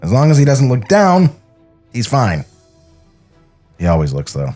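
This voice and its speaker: Man playing weary cartoon director, sincere, hesitant, widely varied pitch, faint music underneath. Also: wildly swooping pitch, a little slow, warm tone. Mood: quietly concerned. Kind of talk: acted screenplay table read.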